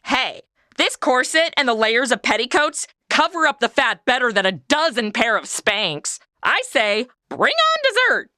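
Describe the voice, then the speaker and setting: A woman's voice: on the high side, very animated, measured pace, pushed and bright, no echo, clean studio sound, full character voice. A woman playing a sarcastic, wisecracking modern young woman in an old west comedy audio drama. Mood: wry. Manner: sarcastic, quippy. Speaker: title character.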